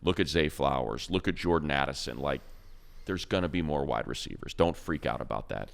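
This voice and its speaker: Casting: deep, smooth male sports announcer, rambling and emphatic. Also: expressive, a little fast. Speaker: radio play-by-play announcer and co-host. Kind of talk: animated football talk.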